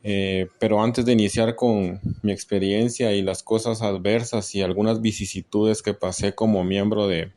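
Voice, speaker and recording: A man's voice: fairly low pitch, steady tone, darkish tone. A young Guatemalan man with a Guatemalan Spanish accent, telling his story in a voice message recorded on a phone, with formal wording and many filler words. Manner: measured, formal wording with frequent "pues" fillers. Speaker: listener sharing his story.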